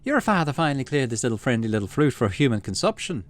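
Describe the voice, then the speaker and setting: Man doing a cheerful, high-pitched middle-aged aunt. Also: sing-song, quick pace, natural voice. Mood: cheerful, eager. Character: enthusiastic, cheerful aunt who loves cooking.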